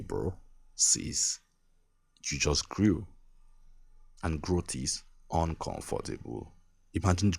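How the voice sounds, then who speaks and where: Young nigerian guy, super deep voice with lots of gravel, podcast pep talk, dry sound. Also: darkish tone, no echo. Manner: earnest, repetitive, laughs between points. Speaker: podcast host. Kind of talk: motivational monologue.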